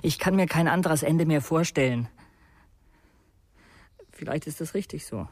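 An actor playing a guilt-ridden, frightened young man, confessing haltingly and with resignation in a radio crime drama. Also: a little high, a little hiss or hum, lightly acted. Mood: resigned, fearful.

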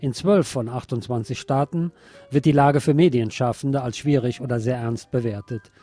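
A man's voice: medium pitch, moderate pace, mellow, soft background music. A man presenting a scripted radio news report. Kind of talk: scripted news reading, later live eyewitness report.

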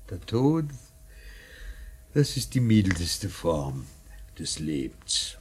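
An actor playing an anguished, solemn old painter mourning his son in a classic radio play, declaiming haltingly with repeated words and a husky voice. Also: mid-range voice, heavily acted. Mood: solemn, musing. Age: older.

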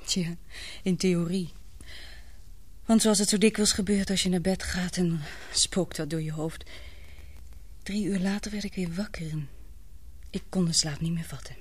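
An actress in a radio play playing a frightened young woman confiding in her lover, halting and breathless in an earnest way. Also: fairly low pitch, steady tone, a little mellow, husky. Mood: subdued, weary.

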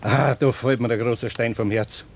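An actor playing a good-natured older master carpenter with a Bavarian accent, gruff but kindly in a radio play. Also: male voice, medium pitch, fairly even intonation, normal speed, slight background noise, natural voice. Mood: kindly, bargaining.

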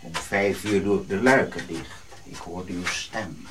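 Deep, slow, unflappable servant. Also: male voice, a bit bright, slight background noise. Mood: dutiful. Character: unflappable, dryly cheeky manservant to old master. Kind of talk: radio drama acting.

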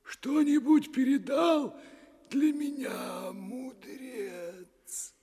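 A woman's voice: high-pitched, extremely slow, warm tone, slightly rough, exaggerated voice. A woman voicing a sorrowful, withered tree that pleads, in an audio fairy-tale play. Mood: eager, pleading. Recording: music behind the voice.

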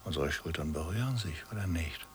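An actor playing an enigmatic, teasing painter in a radio play, speaking in terse, measured questions and replies. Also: male voice, very deep voice, expressive, faint music behind. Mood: teasing, evasive. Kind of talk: radio play acting, intimate dialogue.

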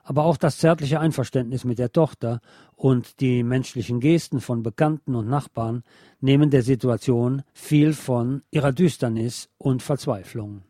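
A man presenting a scripted film review as a radio host, in a measured, explanatory way. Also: mid-range voice, dark tone, no echo.

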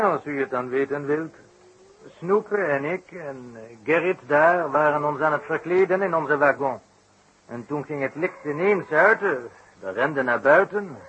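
A man playing a polite circus performer in a Dutch radio drama, speaking haltingly. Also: fairly high pitch, lively intonation, bright, forceful tone. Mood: explaining. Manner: halting, polite, peppered with French. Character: polite French-speaking circus performer giving his account. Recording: dull, noisy 1960s radio recording.

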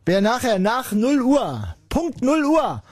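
A man hosting a late-night radio call-in show, sarcastic and rambling, with sudden bursts of mock shouting. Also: very high voice, huge pitch swings, slow, husky, no room sound. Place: radio studio. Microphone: studio microphone.

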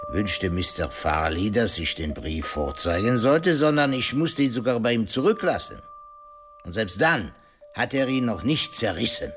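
Clever smug detective in a radio mystery, polite and deliberate, very animated intonation. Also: male voice, on the low side. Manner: courteous, deliberate, theatrical. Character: shrewd, self-satisfied male detective questioning suspects. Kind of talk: German radio crime drama acting.

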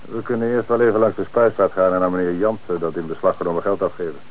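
An actor playing a calm, authoritative police commissioner in an old radio play, on a noisy old radio recording. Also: male voice, sits low, close to an everyday voice. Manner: measured, authoritative. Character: middle-aged male police commissioner, calm and dry. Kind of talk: 1960s radio play acting.

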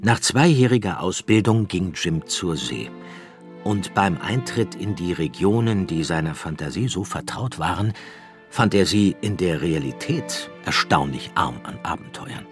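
Casting: male narrator for literary radio play, very low voice, measured delivery over faint music. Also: lively intonation. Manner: measured, storytelling in long literary sentences.

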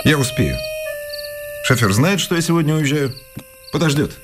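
An actor in a Russian radio drama playing an impatient village schoolteacher, ironic and exasperated. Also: male voice, fairly high pitch, lively intonation, moderate pace, loud music behind the voice, close to an everyday voice. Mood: hesitant, wry. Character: sarcastic, impatient male village schoolteacher eager to leave.

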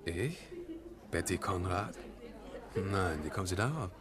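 A man's voice: low voice, lively intonation, unhurried, crowd noise. An actor playing a chatty, slightly bored man-about-town in a 1950s German radio drama. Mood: guarded. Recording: vintage radio play with music behind.

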